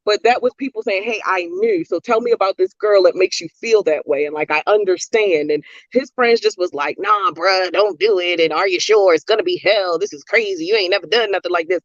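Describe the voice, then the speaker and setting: A man with a Baltimore accent chatting casually and retelling conversations in an animated way. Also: high voice, a little fast, few pauses, dry recording, muffled recording. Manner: animated storytelling, quoting friends. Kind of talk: casual chat.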